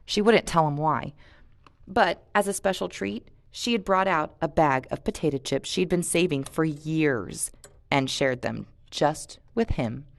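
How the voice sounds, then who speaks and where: Lower-pitched woman reading aloud expressively. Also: measured pace, gravelly. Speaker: reader leading a read-aloud and discussion. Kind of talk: expressive audiobook narration with casual commentary.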